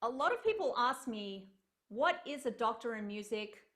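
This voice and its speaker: A woman's voice: fairly high pitch, big pitch swings, normal speed, fairly bright tone. Chatty woman lecturing over a video call. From Australia. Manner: chatty, earnest, frequent ums and uhs. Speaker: cellist giving an online lecture. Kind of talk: online lecture presentation. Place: home room on a video call.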